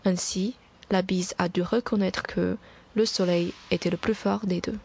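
A woman from Taiwan reading a set passage aloud in a brisk, plain way. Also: fairly low pitch, steady tone, creaky, darkish tone, husky. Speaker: recorded contributor.

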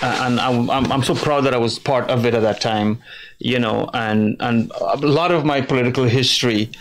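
A middle-aged man from New York City answers questions as a studio guest. He talks in a mid-pitched voice, circling his points with lots of fillers and restarts. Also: steady tone, moderate pace. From grew up in New York City. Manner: rambling, many fillers and restarts. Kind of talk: interview answers. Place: TV studio with virtual set.

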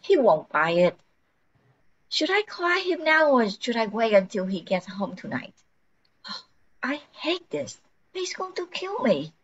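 A slightly muffled, lo-fi recording of an older woman acting a frantic housewife in a radio play. Her voice is high and swings up and down. She is anxious, scatterbrained and pleading. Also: very animated, slow, long pauses, breathy edge, heavily acted. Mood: fretful.

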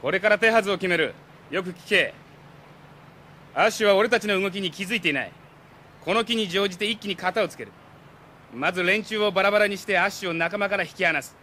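A man playing a scheming young gang leader in a radio drama, rough, commanding and menacing. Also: high voice, lively intonation, fast talker, long pauses, sharp tone, slight background noise. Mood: cold, commanding.

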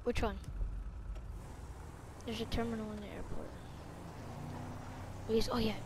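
A woman's voice: a little high, level tone, unhurried. A woman chatting casually and slangily while playing a roleplay video game. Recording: clearly noisy game audio, vehicle sounds.

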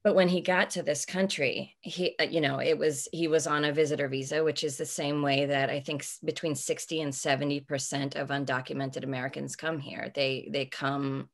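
A woman's voice: low voice, flat delivery, normal speed, creaky, few pauses. A woman in her forties, an author answering interview questions over a video call from home, thoughtful and searching, with many fillers and restarts. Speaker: author guest. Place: home room with bookshelves. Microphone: video call webcam.

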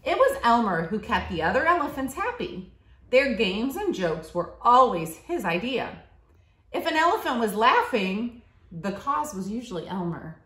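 Expressive woman reading aloud, lively pitch. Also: normal speed. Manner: expressive, simple clear teacherly phrasing. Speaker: school staff member reading aloud to a child. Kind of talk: picture-book read-aloud with questions to a child. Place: living room, seated on a leather couch. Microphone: camera a short distance away. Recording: roomy, echoey home recording.

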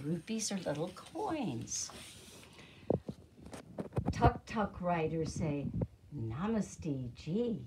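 An older woman, in her sixties, reading aloud to young children as their teacher, with deliberate, expressive, simple phrasing. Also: mid-range voice. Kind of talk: children's read-aloud storytelling. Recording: noisy amateur room recording with clicks.

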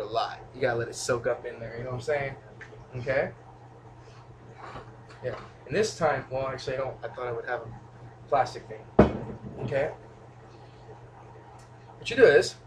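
A man's voice: mid-range voice, background noise. A young man casually showing how he cooks in a small home kitchen, goofy and rambling as he talks to the camera from a few feet away. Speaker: home cooking vlogger. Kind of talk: casual cooking demo, joking asides. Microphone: camera mic from a few feet away.

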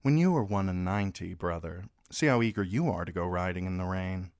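A man voicing a mature noblewoman who speaks in a wry, measured way. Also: deep voice, a little mellow, dry recording, studio quality.

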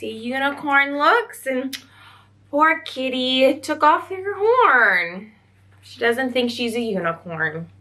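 A young woman storyteller reading a picture book aloud to children, expressive and full of wondering questions. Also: sits high, slow pace. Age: young adult. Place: small indoor room.

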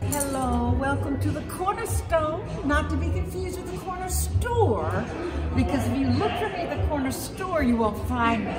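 A woman's voice: a little high, far from the mic. A middle-aged woman giving an informal welcome to camera as a shop owner and artist. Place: large indoor market hall. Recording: echoey hall, music behind.

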